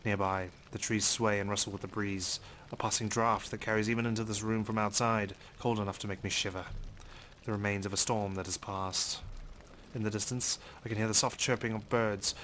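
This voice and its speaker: A man's voice: on the low side, fairly even intonation, moderate pace, bright, forceful tone, a little hiss or hum. A man reading visual novel text aloud as dramatic first-person narration. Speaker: let's play host reading game text aloud.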